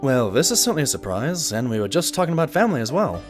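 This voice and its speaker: A man playing an earnest, fussy pony sidekick and chronicler in an audio drama, excitable and easily exasperated. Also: mid-range voice, rapid-fire, faint music behind, close to an everyday voice. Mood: surprised. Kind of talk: scripted audio drama acting and narration.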